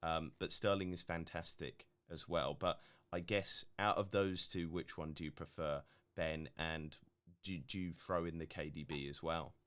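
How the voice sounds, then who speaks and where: Low-voiced gruff male co-host. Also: moderate pace. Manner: relaxed, filler-heavy, laughs easily. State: slightly gruff voice. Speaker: co-host reading viewer questions. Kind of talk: casual live stream chat.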